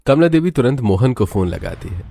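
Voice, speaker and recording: A man's voice: mid-range voice, big pitch swings, brisk, gravelly, mellow. A man reading scripted, dramatic narration for a cartoon story.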